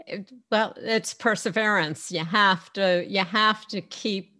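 A woman host speaks in a casual interview style with a medium-pitched voice. The sound is dry, with no room echo. She comes across as reflective and agreeable, pausing and restarting as she thinks her points through. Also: steady tone, pushed and bright.

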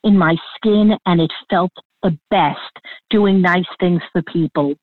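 A woman with a thick Long Island accent, telling stories as an interview guest, lively and laughing, over a tinny phone line. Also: lowish voice, no echo. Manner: lively, laughing, talks in quick bursts with restarts. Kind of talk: animated storytelling interview.

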